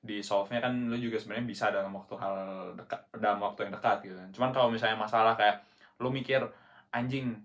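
A man's voice: fairly low pitch, fairly even intonation, fast, fairly bright tone. Rambling young Indonesian guy. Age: young adult. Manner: slangy, rambling with restarts. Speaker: podcast co-host. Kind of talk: casual chat.

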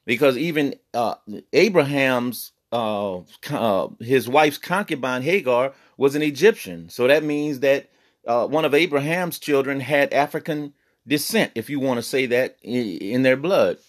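A man's voice: mid-range voice, animated. A man teaching a Bible lesson on a podcast, earnest, with many fillers and restarts. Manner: reads scripture in slow old-fashioned cadence.

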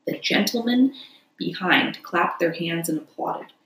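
A woman's voice: fairly low pitch, a little slow, a bit bright, reverberant, low-quality recording. A woman narrating a classic children's story expressively, as an audiobook reader.

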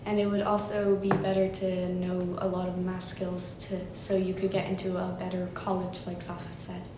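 A woman's voice: completely flat delivery, moderate pace. A teenage female student sharing her views in a casual discussion. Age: teens. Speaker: school student. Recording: roomy, clear rumbling background noise.

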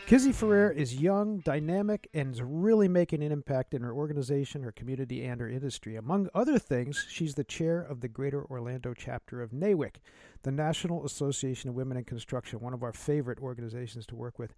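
A man's voice: mid-range voice, fast, mellow, no room sound. A middle-aged man hosting an interview, enthusiastic and chatty with many fillers. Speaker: podcast host.

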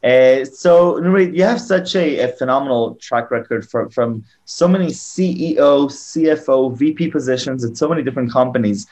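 A man with a medium-pitched voice hosts an interview. He talks conversationally and effusively, often restarting a phrase as he builds a question. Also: normal speed, few pauses, a little mellow. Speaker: interview show host.

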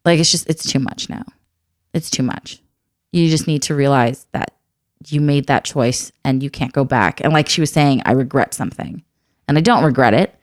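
A woman's voice: rumbling low, normal speed, no room sound, clean studio sound. A woman in her thirties, an adoptive mother, talking earnestly and reflectively in a candid conversation. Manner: earnest, reflective, soft-spoken.

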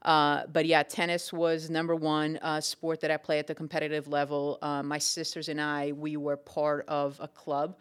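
A woman's voice: deep voice, completely flat delivery, moderate pace, hardly any pauses, smooth. A young adult woman from Portugal, a tennis coach answering interview questions thoughtfully, with many ums and restarts.

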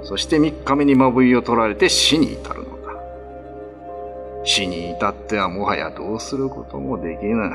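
A man acting a renowned, dignified Ryukyuan lord and demon slayer in a radio drama, speaking gravely in old-fashioned, commanding language. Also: on the low side, expressive, normal speed, music in the background.

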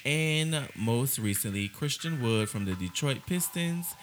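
A man's voice: medium pitch, animated, unhurried, a little hiss or hum. A man co-hosting a casual gossip podcast, reading celebrity news aloud with laughing asides. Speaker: podcast co-host.

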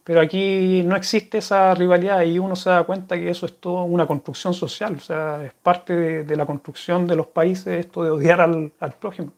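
A man's voice: sits high, flat delivery, normal speed, hardly any pauses. A man with a Chilean accent, chatting casually to viewers and answering their questions in a rambling, friendly way. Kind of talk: casual chat to camera.

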